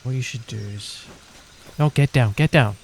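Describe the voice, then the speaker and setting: A man with a mid-pitched, creaky voice commentates casually on a game, thinking aloud in a loose way. Game ambience runs clearly behind him. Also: normal speed, a little hiss or hum. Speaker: gaming video host.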